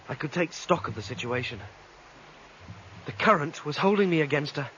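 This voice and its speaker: A high-voiced British man as a bold, inquisitive citizen in a seventies sci-fi radio play. He is eager and very animated, over a muffled, noisy recording. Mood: tense recounting. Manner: eager, coaxing, adventurous. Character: curious, bold male citizen of a dystopia. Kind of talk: 1970s British radio drama acting.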